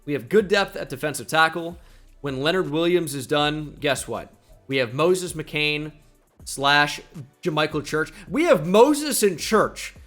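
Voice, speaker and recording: A man's voice: on the high side, a bit bright. A young man from New Jersey with a slight New Jersey accent, chatting casually as he comments on a football video game and thinks aloud with self-deprecating asides. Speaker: gaming YouTuber.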